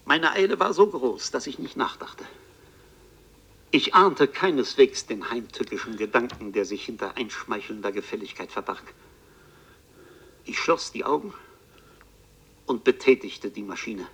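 An actor playing a haunted Parisian bookseller, narrating his fantastical ordeal in a literary, deliberate and intense radio play style. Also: medium pitch, expressive, fairly bright tone, breathy edge, full character voice. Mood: fatalistic, dramatic. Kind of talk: dramatic first-person radio play narration.

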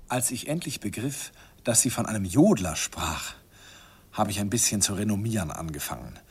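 An actor playing a nostalgic older man who recalls his shy first love in an unhurried, wistful radio play narration. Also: on the low side, sing-song, husky, full character voice. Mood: wistful, reminiscing. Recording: vintage radio recording with music behind.

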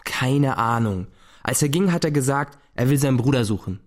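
A man's voice: medium pitch, expressive, moderate pace, close to an everyday voice. A young male actor playing a defiant, cheeky teenage boy in a radio play, blunt and sardonic. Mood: cool, evasive.

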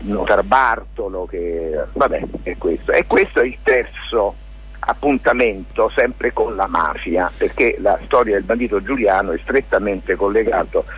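A mature man, an interview guest, explains things informally and discursively, often hesitating, in a husky, rough voice. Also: on the low side, unhurried, muffled recording. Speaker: lawyer and theatre maker, interview guest.

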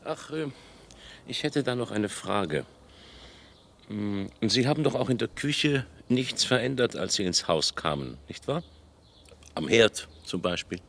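A man playing a methodical police inspector in a radio crime drama, questioning witnesses and reasoning aloud deliberately in a husky voice. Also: on the low side, lively intonation, a bit bright, a little hiss or hum, exaggerated voice. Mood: tentative.

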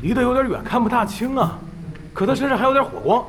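A man's voice: very high voice, expressive, quick pace, fairly bright tone, soft background music. A man playing a jumpy young male guild member in a gaming audio drama. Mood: puzzled. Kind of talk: Chinese audio drama acting.

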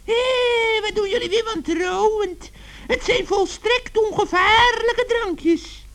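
A man's voice: slow, fairly bright tone, full character voice. A man voicing a sly, wheedling old witch with false sweetness in a children's radio play. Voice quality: creaky, shrill. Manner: wheedling, mock-innocent.

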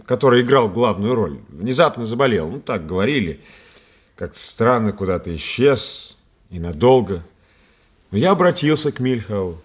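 Defensive, animated man in a radio drama. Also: mid-range voice, breathy edge. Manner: earnest, protesting. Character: polite, defensive young stage actor, easily exasperated. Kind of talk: Russian radio play acting.

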